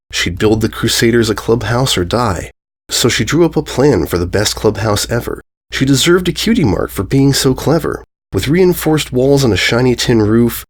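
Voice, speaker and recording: Animated man reading a rhyming storybook. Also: mid-range voice. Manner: measured singsong cadence. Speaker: story reader. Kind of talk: rhyming children's storybook narration.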